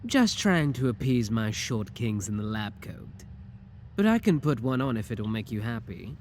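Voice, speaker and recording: A man's voice: mid-range voice, big pitch swings, normal speed, a little mellow, slight background noise. A male voice actor playing a shameless, flirty tiny clone scientist in an ASMR audio roleplay, teasing and suggestive in a theatrical way.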